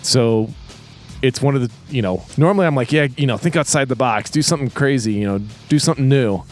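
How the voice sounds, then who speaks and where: A man's voice: mid-range voice, fast talker. Emphatic man chatting over faint music. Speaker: podcast co-host. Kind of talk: casual music discussion.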